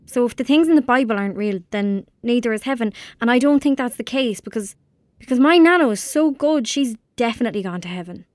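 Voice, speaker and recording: A woman's voice: fairly high pitch, lively intonation, brisk, no echo, lightly acted. Earnest young Irish girl, played by a woman. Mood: earnest, sombre. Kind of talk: naturalistic audio drama acting.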